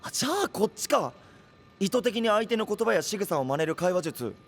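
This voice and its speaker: Earnest, higher-voiced young anime hero. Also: male voice, expressive, moderate pace, faint music behind. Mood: naive, curious. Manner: blunt, naive, boyish casual speech. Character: earnest, simple-minded young male hero who speaks plainly. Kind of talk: anime-style audio drama acting.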